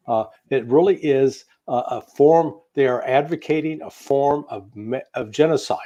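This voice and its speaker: A male American commentator with a medium-pitched voice, talking as an interview guest. He gives opinionated political analysis off the cuff, emphatically stacking one point on the next. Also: normal speed, mellow, highs cut off. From United States. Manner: emphatic, piling up lists, opinionated.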